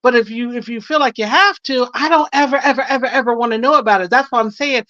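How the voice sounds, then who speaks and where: A woman's voice: on the high side, fast, sharp tone, dry recording. An American woman in a casual debate, speaking colloquially and insistently as she restates her point. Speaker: panelist on a live stream. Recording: dull lo-fi stream audio.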